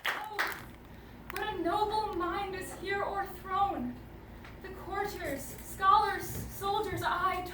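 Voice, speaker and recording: A woman playing a heartbroken young noblewoman in a lamenting, theatrical Shakespeare monologue. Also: extremely high pitch. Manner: slow, lamenting. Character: heartbroken young noblewoman mourning her beloved's madness. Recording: distant mic, echoey, clearly noisy ambience.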